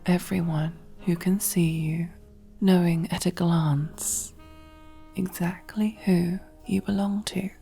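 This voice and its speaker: A woman playing a commanding, possessive and silkily affectionate female mafia boss in an ASMR roleplay, speaking intimately in a breathy, husky voice that often drops to a whisper. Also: fairly low pitch, fairly even intonation, faint music behind. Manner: slow, controlled, softly commanding, intimate.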